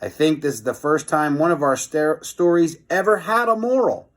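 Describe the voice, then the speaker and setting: A man's voice: on the high side, moderate pace, natural voice. A man voicing a wry, matter-of-fact schoolboy sidekick in a children's storybook.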